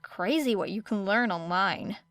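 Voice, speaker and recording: A woman's voice: medium pitch, measured pace, a bit bright, no echo. A woman voicing a guarded teenage girl, a hero student who is apologetic and casually understated, in an audiobook story. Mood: evasive.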